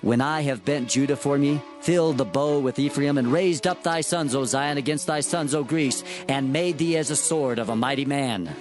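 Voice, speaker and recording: An actor playing a solemn prophet, declaiming divine oracles in archaic language in a dramatized scripture reading. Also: male voice, medium pitch, moderate pace, few pauses, music in the background, close to an everyday voice. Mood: solemn, stern.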